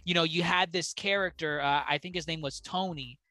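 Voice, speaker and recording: A man's voice: on the high side, fairly even intonation, a little fast, pushed and bright, no echo, soft background music. A man co-hosting a casual podcast, talking with many fillers, restarts and stumbles. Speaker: podcast co-host.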